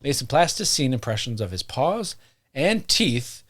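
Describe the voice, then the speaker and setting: Man, podcast co-host, reading a story, jokey, profane, corrects himself, lively pitch. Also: measured pace.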